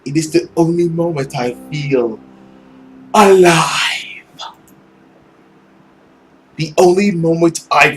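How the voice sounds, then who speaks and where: A man voicing an arrogant, malicious, centuries-old villain with mischief in him, in a theatrical visual novel reading with drawn-out emphasis. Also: a little high, low-quality recording. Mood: rapturous, sly.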